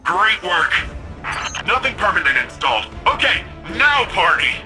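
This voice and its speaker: Casting: keen, colloquial sci-fi game comrade on radio, lively and high-pitched, cheering the player on. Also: male voice, unhurried, over music. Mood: upbeat, triumphant. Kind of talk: video game mission dialogue.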